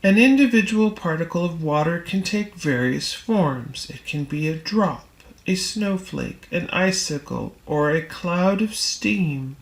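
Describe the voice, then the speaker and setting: A woman reading a spiritual text aloud in audiobook style, with measured, deliberate phrasing and pauses. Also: lowish voice, expressive, roomy sound. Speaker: reader.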